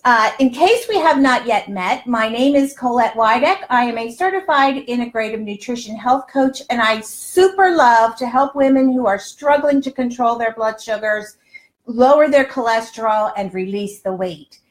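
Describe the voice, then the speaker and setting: Older female cooking host, on the high side, chatty and exclamatory, talking straight to viewers in a reverberant home kitchen. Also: normal speed. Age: older, around 60s. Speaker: health coach and cooking show host. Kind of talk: casual live cooking demonstration. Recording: roomy, echoey home kitchen sound.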